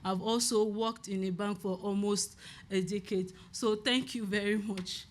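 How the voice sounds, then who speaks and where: A woman founder delivers a startup pitch on a stage mic, pausing often and filling gaps with "um". Her pitch sits in a middle range, with a faint hiss behind her. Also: fairly even intonation. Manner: hesitant, many fillers. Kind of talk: pitch presentation. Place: event hall.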